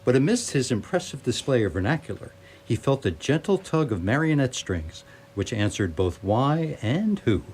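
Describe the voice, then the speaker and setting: A man's voice: mid-range voice, very animated, normal speed, darkish tone, breathy edge. A man narrating a radio play in a theatrical, wry storytelling manner.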